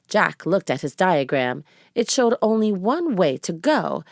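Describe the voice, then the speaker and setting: A woman's voice: on the low side, very animated, measured pace, creaky, dry recording, studio quality. A woman narrating a children's chapter book aloud as a storybook reader.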